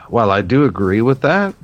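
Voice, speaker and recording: A man's voice: mid-range voice, rough recording. A man co-hosting a casual gaming podcast, rambling with many fillers and restarts. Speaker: podcast co-host.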